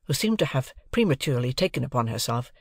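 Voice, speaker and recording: A man's voice: medium pitch, big pitch swings, fast talker, vocal fry, no echo, studio quality. A man reading a classic novel as an audiobook narrator, in a literary storytelling style.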